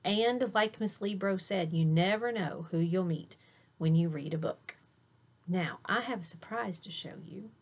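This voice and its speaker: A woman's voice: on the low side, a little slow, husky. A woman reading a children's picture book aloud like a teacher, with dramatic delivery and chatty asides to young listeners.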